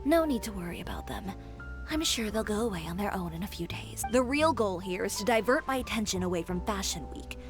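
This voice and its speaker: An actress playing a proud, composed fashion designer who runs her own boutique, giving crisp, self-assured orders. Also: mid-range voice, animated, fairly quick, close to an everyday voice. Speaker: boutique owner. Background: game music.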